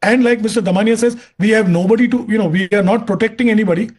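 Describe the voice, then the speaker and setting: A man's voice: sits high, racing, a little mellow, slightly rough. A man with an Indian accent arguing his points emphatically as an expert guest on a TV news debate. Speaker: aviation expert panelist. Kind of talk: TV debate commentary.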